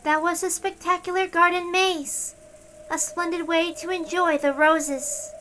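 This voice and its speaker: A woman's voice: very high-pitched, fairly even intonation, unhurried, sharp tone, a little hiss or hum, voice pushed up, full character voice. A woman voicing a formal, polite young female heavenly visitor with a stiff, solemn, ceremonious way of speaking.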